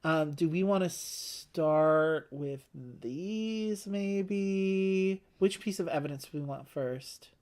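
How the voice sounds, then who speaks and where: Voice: mid-range voice, slow pace, hardly any pauses, darkish tone. A casual, talkative game co-host with a middle-register voice. They explain things readily and talk through options in an easy conversational style, as if across a table from a friend. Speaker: board game playthrough co-host.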